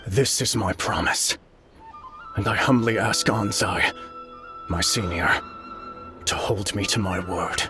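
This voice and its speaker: A humbled sword master from a game, talking low and hesitantly, full of remorse. Game music plays loudly behind him. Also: male voice, slow, long pauses. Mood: humble. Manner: troubled, hesitant, earnest.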